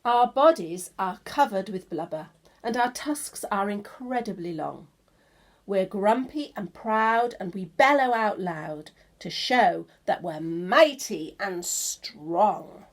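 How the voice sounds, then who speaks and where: A woman's voice: medium pitch, animated, slow pace. A woman voicing grumpy, proud, mighty walruses in emphatic children's storybook verse.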